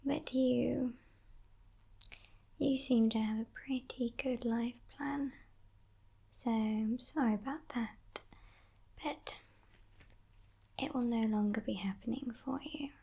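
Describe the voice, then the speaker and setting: Slow whispering demon woman, acted out by a woman. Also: fairly high pitch, steady tone, exaggerated voice. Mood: coaxing, smug. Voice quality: breathy, mostly whispered. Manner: slow, teasing, condescending, softly threatening. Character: sly female demon kidnapper, haughty, coaxing, menacing. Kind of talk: whispered ASMR roleplay.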